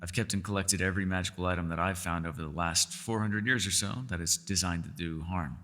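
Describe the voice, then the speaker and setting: A man's voice: deep voice, fairly even intonation, brisk, sharp tone, long reverb tail. A man voicing a centuries-old elf scholar in an ASMR roleplay, courteous and meticulous, with formal wording and small hesitant stammers. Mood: grave, cautioning. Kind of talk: ASMR roleplay voice acting, one-sided dialogue.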